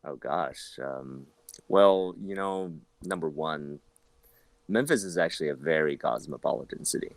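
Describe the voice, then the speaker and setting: A man in his thirties, an actor, chatting as an interview guest, rambling with lots of restarts and sudden jumps in pitch. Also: unhurried, vocal fry, no room sound. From southern China. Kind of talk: casual interview talk.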